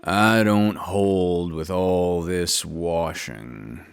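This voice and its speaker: A man voicing a gloomy old male donkey who grumbles slowly and mournfully. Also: low-pitched, gravelly, clean tone, voice pushed down, exaggerated voice. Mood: grumbling.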